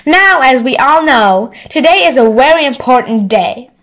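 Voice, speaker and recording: A woman's voice: high-pitched, expressive, normal speed, fairly bright tone. A woman voicing a pompous, self-important middle-aged uncle in a storybook reading. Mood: self-important, bossy.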